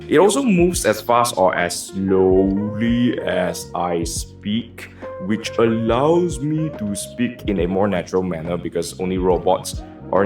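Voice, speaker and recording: A man with a Malaysian accent reviewing gear from a script, dropping wry joking asides. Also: sits low. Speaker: tech reviewer.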